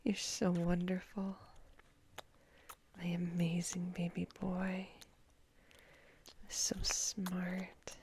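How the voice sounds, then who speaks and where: A woman playing a doting, soothing character in a whispered ASMR roleplay, mixing breathy whispers with soft murmurs. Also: on the low side, monotone, extremely slow, long pauses, slight background noise. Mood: tender, praising.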